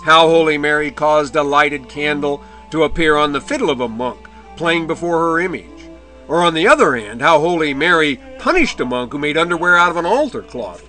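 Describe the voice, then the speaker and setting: A man delivers a history lecture over slides in a fairly high, bright, forceful voice. He speaks in a measured way and drops in the occasional dry, wry aside. Faint medieval music plays softly behind him. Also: animated. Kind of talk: illustrated history lecture narration.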